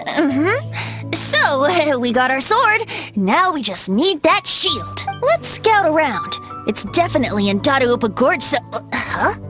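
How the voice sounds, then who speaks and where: Young boy bard in a video game, light high voice, playful and theatrical, really lilting, some music behind. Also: big pitch swings, slow pace, heavily acted. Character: boyish young male bard, whimsical, playful, poetic. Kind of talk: English game voice acting.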